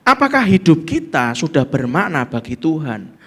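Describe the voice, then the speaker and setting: A man with a Javanese accent preaches as pastor in a fairly high voice. He talks with emphasis, pressing his points and calling for amens. He slips local Javanese phrases into his sermon. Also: sing-song, moderate pace, fairly bright tone. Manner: emphatic, calls for amen.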